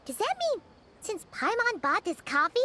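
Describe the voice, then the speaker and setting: A woman voicing a tiny, excitable, cheeky fairy companion in an anime game, with a squeaky childlike voice and exclamatory delivery. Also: normal speed, heavy background noise. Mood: excited, teasing. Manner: speaks of self in third person, exclaiming.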